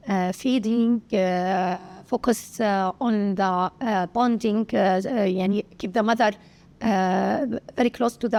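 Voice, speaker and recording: A woman from Syria with an Arabic accent, a pediatrician answering interview questions haltingly, with many pauses and filler sounds. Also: mid-range voice, darkish tone. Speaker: pediatrician guest.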